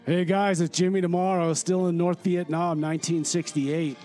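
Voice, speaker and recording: A man playing a cheerful reporter stuck in a 1968 jungle for a comedy radio sketch, reporting in a breezy deadpan. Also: fairly high pitch, normal speed, over music. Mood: upbeat.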